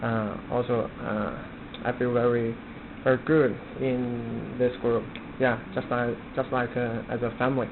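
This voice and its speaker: A man in his twenties with a Chinese accent, a student introducing himself informally, halting and repeating words. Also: medium pitch, little pitch movement. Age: 20s. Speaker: language student. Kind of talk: informal classroom self-introduction. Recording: muffled phone-like audio, clearly noisy.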